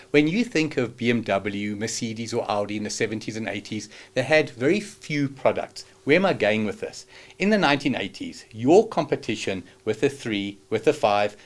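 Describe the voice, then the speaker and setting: Emphatic male podcast host. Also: lowish voice. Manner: emphatic, rhetorical questions. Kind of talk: studio interview. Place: studio.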